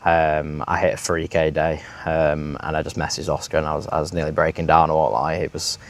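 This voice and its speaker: A nineteen-year-old man answering interview questions in a hesitant, modest way, filling pauses with ums. His voice sits very low for a young man. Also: flat delivery. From Manchester, England. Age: late teens. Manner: hesitant, lots of ums, laughs shyly. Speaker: interview guest, sales manager. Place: villa, indoors.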